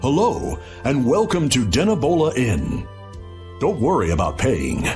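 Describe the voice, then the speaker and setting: Animated, obliging innkeeper. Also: male voice, medium pitch, unhurried, music in the background. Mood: welcoming. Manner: polite, salesmanlike. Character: chipper, obsequious male innkeeper. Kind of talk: English video game dub acting.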